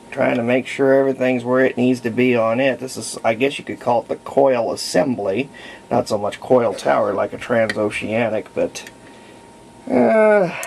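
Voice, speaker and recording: An American man in his fifties narrates hands-on repair work unscripted, thinking aloud in a halting way as he goes. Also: mid-range voice, darkish tone. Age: 50s. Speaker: hobbyist radio restorer. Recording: clear background noise.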